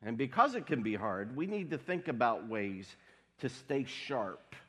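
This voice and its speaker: A man preaching a sermon as pastor, congested from a cold, with a wry, deadpan humor. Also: mid-range voice, a little slow, breathy edge. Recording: long hall reverb.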